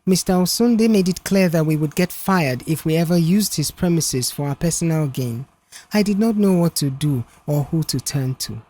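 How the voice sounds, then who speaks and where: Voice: mid-range voice, normal speed, warm tone, lightly acted. A narrator voicing an apologetic, desperate young woman who works as an office secretary, pleading earnestly in an audio story. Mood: remorseful, pleading.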